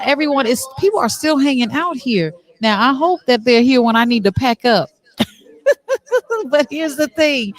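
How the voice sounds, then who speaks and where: A woman hosting an on-location interview show, chatting in an animated, playful, casual way. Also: on the high side. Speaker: talk show host. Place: busy venue backstage after a stage play. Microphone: microphone with headphone monitoring.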